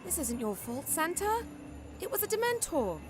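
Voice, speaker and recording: A woman playing a brisk, bossy young witch in a radio drama, explaining things emphatically. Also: very high-pitched, sing-song, soft background music. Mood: brisk, concerned.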